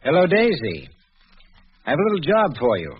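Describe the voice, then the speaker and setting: An actor playing a formally polite manservant who is secretly a cold-blooded killer, in controlled 1940s radio style. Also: male voice, fairly high pitch, wildly swooping pitch, moderate pace, breathy edge, exaggerated voice. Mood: brisk, evasive. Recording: muffled, noisy 1940s radio recording.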